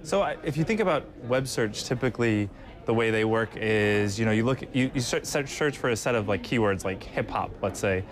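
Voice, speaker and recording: A man's voice: medium pitch, animated, a little fast. A man giving a casual, step-by-step product explanation in an interview. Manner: informal, explains step by step with examples. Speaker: product manager being interviewed.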